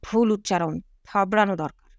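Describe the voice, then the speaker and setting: Audiobook role: woman plays grumbling pedantic dad, muttered scolding, dropped pitch, close dry sound. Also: normal speed, no room sound, muffled recording, close to an everyday voice. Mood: irritated. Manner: muttered, low-voiced scolding. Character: stern, grumbling male English-obsessed father and teacher.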